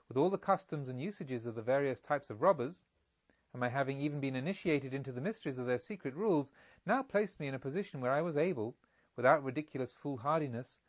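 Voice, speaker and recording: A man reading literary narration in a measured, formal and expressive storytelling manner. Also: fairly high pitch, dry recording, lo-fi sound. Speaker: audiobook reader. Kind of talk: scripted literary narration in first person.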